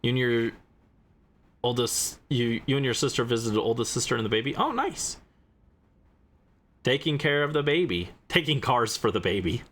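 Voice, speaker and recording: A man's voice: medium pitch, expressive, moderate pace, long pauses, fairly bright tone, a little hiss or hum. A man hosting a livestream, chatting casually while he plays a game. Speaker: livestream host. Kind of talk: casual game stream chat, reading dialogue aloud.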